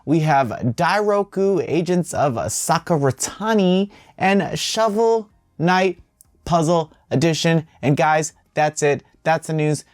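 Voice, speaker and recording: A man's voice: on the high side, expressive, slow pace, dry recording, clean studio sound. A man hosting video game news in an informal, wry commentary style.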